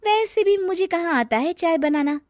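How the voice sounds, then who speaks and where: A woman's voice: very high voice, animated, moderate pace, no echo, studio quality, pitched above the performer's own voice. A woman voicing a proud, easily piqued young daughter-in-law in a moral story, with pert, sarcastic retorts. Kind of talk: acted dialogue in a moral story.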